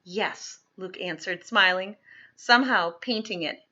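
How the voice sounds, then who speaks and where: A woman reads a storybook aloud with a bright, forceful edge and a husky grain. She leans into the drama, swelling on key words and dropping softer on others. The recording is a little dull and lo-fi. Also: medium pitch, unhurried. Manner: dramatic, with emphatic swells and hushed drops. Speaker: storybook reader. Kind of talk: expressive read-aloud of children's historical fiction.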